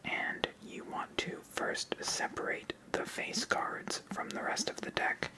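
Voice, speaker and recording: A host of unclear sex speaks entirely in a whisper, in the style of an ASMR tutorial. The pace is very slow and unhurried. Each step is explained softly and patiently, one after another. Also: poor audio. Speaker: host of a card game channel.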